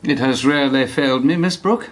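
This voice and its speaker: An actor playing a stubborn, dignified Victorian police inspector with a formal, self-assured manner. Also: male voice, medium pitch, a little fast, close to an everyday voice. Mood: firm.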